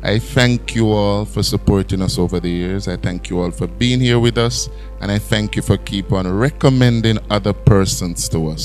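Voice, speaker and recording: A man's voice: lowish voice, darkish tone. A Jamaican man in his thirties, operator of a training institute, giving an earnest formal address into a handheld mic while seated indoors. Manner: earnest, speech-like. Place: indoor room, seated in armchair.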